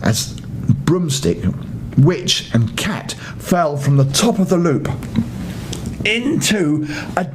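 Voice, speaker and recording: An older man reading a children's bedtime story with expressive narration. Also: takes it slowly, pauses often, rough recording. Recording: faint rumbling background noise.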